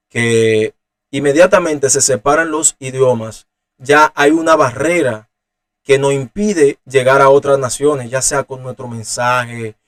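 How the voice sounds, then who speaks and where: Dominican man teaching scripture. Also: medium pitch. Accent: Dominican Spanish. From Dominican Republic. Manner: unhurried, explanatory, with frequent "eh" fillers. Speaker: preacher. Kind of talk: Bible teaching.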